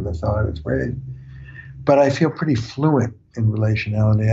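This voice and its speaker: A man's voice: lowish voice, creaky, lo-fi sound, noisy background. An older man, a family therapist, explaining his ideas earnestly with analogies in an interview. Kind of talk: reflective interview talk.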